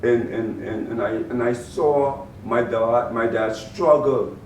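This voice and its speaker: A man with a Bahamian accent, a guest in an interview, answering in a measured way and often repeating words as he gathers his thoughts. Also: medium pitch, darkish tone. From Turks and Caicos Islands, raised in the Bahamas. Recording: roomy, distant mic with faint noise.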